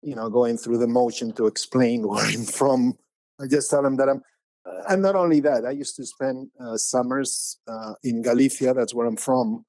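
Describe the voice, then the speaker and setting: A man's voice: medium pitch, steady tone, normal speed, darkish tone, no echo. A man in his sixties from Spain with a Spanish accent, a painter talking casually as an interview guest, rambling with many fillers and restarts. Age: 60s.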